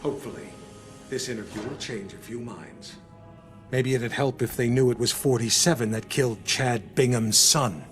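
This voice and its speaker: A man acts out an embittered intelligence chief in a cinematic game scene. His delivery is emphatic and opinionated, in a mid-pitched male voice with faint music underneath. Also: normal speed, close to an everyday voice. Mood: sly, grim. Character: embittered, scheming older male intelligence chief giving an interview. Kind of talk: cinematic game cutscene acting.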